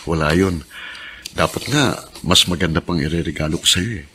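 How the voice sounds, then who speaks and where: An actor playing a tender, devoted middle-aged husband, affectionate and coaxing, in a Filipino radio drama. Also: male voice, deep voice, normal speed, sharp tone, husky, heavily acted.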